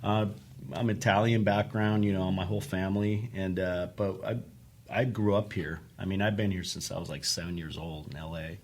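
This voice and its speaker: A man's voice: sits low, fairly even intonation, moderate pace, a little mellow. A middle-aged man from Argentina, a lead guitarist, chatting casually and rambling with many restarts. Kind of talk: casual chat.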